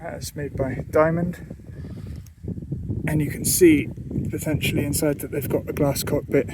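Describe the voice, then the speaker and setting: A man's voice: fairly high pitch, level tone, moderate pace. A man who is a pilot, giving casual vlog commentary outdoors at an airfield. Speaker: pilot and vlogger. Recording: clear outdoor ambient noise.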